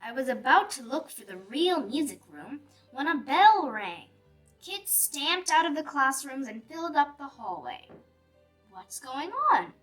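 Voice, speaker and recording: A woman reading a picture book aloud for young children in an animated, deliberate storytelling manner. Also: high-pitched. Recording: distant mic with music behind. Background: music.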